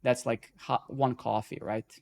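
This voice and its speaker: A man's voice: medium pitch, flat delivery, measured pace, vocal fry, a little mellow. A man originally from Serbia hosting a casual live-coding stream, talking loosely, swearing when frustrated and tagging lines with "right?" and "man". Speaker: stream host.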